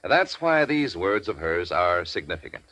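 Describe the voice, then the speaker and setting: Lively 1940s radio pitchman, dry sound. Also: normal speed, no echo. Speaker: commercial announcer. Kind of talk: 1940s radio advertising, scripted.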